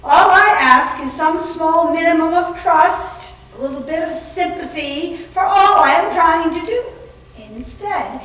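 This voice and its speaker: A woman in a readers theater playing a pompous, indignant wife who scolds with drawn-out declamation. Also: very high voice, a bit bright. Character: haughty, aggrieved wife scolding her sceptical husband. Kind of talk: readers theater dramatic reading. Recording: very echoey room, distant mic.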